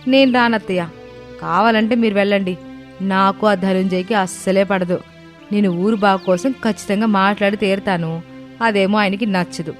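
A woman playing a bold, outspoken young village daughter-in-law, speaking in an earnest, dramatic way in an animated moral story. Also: mid-range voice, moderate pace, faint music behind, close to an everyday voice. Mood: defiant.

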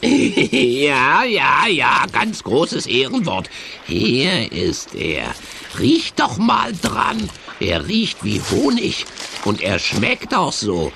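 An actor playing a grumpy, sneaky villain in a children's radio play, stammering, cackling and insincere. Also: male voice, medium pitch, wildly swooping pitch, extremely slow, creaky, bright, forceful tone, full character voice. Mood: sly, gloating. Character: grumpy, sneaky male villain, gloating and deceitful. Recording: noisy old cassette radio play.

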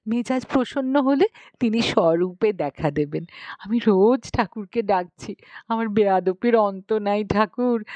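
Narrator lady doing a devout village wife, anxious, begging and reverent, slow, storybook style, dry audio. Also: a little high, darkish tone, dry recording. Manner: imploring, reverent. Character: pious, pleading village wife, anxious and devoted.

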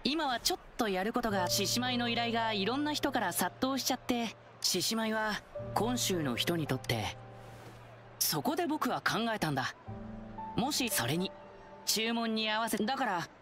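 A woman's voice: on the high side, big pitch swings, normal speed, over music. A woman voicing a cheerful, eager young male lion dancer in a video game, with a friendly, earnest, boyish manner.

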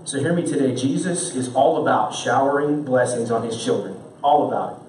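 A young man preaches from a church stage in an earnest, questioning tone. His voice sits at a middle pitch and is picked up from a distance, so it rings around the hall with a little background noise. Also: a little fast, darkish tone. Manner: earnest, asks many rhetorical questions. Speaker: pastor. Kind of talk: sermon. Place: church stage with band gear, indoors. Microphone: stand mic, far camera. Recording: roomy church sound from far off.

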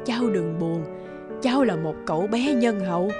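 A woman voicing a loving, poor old grandmother in a cartoon, gentle and consoling. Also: mid-range voice, expressive, moderate pace, loud music behind the voice, close to an everyday voice. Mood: tender concern.

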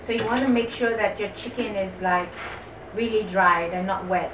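A woman's voice: mid-range voice, moderate pace. A young woman hosting a casual home cooking vlog, chatty and enthusiastic as she explains each step. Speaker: vlog host. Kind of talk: casual cooking commentary to camera. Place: small home kitchen, indoors. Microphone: camera microphone. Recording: clear kitchen noise, camera mic. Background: kitchen cooking ambience.

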